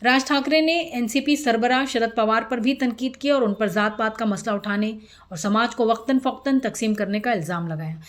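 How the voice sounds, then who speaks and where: A female news presenter with a fairly high voice reads from a script. Her delivery is formal and structured, in the manner of a bulletin, with an occasional stumble over a word. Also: moderate pace.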